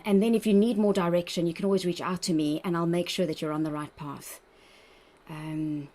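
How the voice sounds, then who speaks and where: South African woman leading a video workshop. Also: on the low side. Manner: warm, encouraging, chatty with laughs. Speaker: workshop presenter, registered dietitian. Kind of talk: online wellbeing workshop. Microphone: video call.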